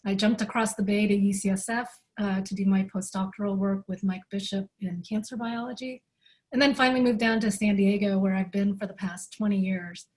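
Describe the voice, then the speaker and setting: A woman's voice: medium pitch, steady tone, a little fast, darkish tone, rough recording. Woman, fifties, investor, chatty, easygoing. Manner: chatty, friendly, laughs lightly. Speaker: venture investor panelist. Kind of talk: self-introduction on a panel.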